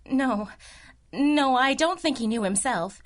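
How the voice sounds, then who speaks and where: High-voiced, fretful damsel in distress. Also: female voice, animated, takes it slowly. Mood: anxious, earnest. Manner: breathlessly earnest, flustered, polite. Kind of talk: melodramatic 1930s-style radio drama acting.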